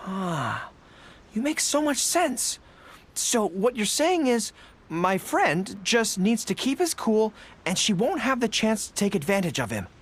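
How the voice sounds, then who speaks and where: An actor playing an earnest, bashful young army general in a video game dub, eager and halting. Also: male voice, high-pitched, big pitch swings, slightly rough, exaggerated voice. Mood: grateful, eager. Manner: eager, halting when embarrassed. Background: music.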